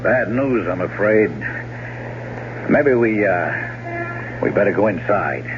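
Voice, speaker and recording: Low-voiced, hesitant police lieutenant. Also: male voice, lively intonation, takes it slowly. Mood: uneasy, solemn. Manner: hesitant, grave, courteous. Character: awkward, apologetic male police lieutenant. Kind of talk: 1970s radio drama acting. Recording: muffled, noisy 1970s radio recording.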